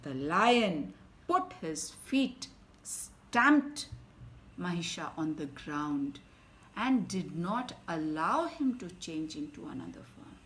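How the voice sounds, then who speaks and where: A woman with an Indian English accent reading a story aloud to children, swinging from loud emphasis to soft whispers. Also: on the low side, big pitch swings, slow. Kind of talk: expressive bedtime story reading.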